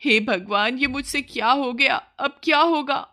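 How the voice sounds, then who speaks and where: A woman's voice: sits high, unhurried, bright, forceful tone, pitched above the performer's own voice. A woman voicing a greedy, sly village wife and mother in a cartoon story, with exclamatory, pleading speech. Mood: anxious, remorseful.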